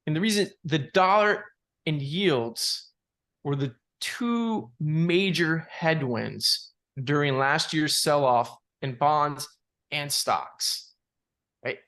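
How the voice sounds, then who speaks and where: A man giving unscripted analysis as a market analyst, in a halting way, repeating words and padding with "you know". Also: a little high, fairly bright tone. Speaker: market analyst presenting charts. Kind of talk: unscripted financial chart commentary.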